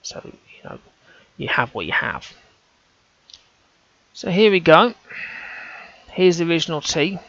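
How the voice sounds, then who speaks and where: A man's voice: on the high side, big pitch swings, unhurried, vocal fry, pauses often, fairly bright tone, dry recording, lo-fi sound. A man talking through on-screen images, casual and hesitant, often restarting his sentences as he thinks aloud. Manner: hesitant, self-correcting, casual. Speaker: amateur researcher.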